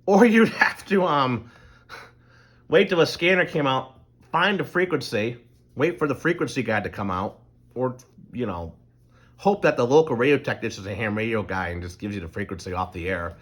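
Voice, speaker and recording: Brief: middle-aged man, mid-pitched voice, loose unscripted monologue with frequent fillers and restarts. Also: lively intonation, moderate pace, a bit bright. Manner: rambling, loose, full of ums and restarts. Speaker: channel host. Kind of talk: casual explanatory monologue.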